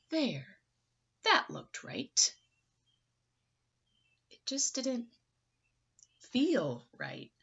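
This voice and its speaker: A woman's voice: sits high, sing-song, drawn-out words, long pauses, fairly bright tone, highs cut off. A woman reading a children's picture book aloud expressively and theatrically, engaging young listeners.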